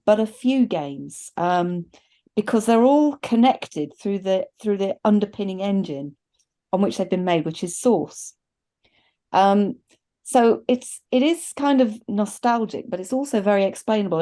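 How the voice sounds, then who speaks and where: Mellow-voiced middle-aged woman, enthusiastic. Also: medium pitch, animated, unhurried. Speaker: film review panelist. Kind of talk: casual review chat. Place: indoors on a video call.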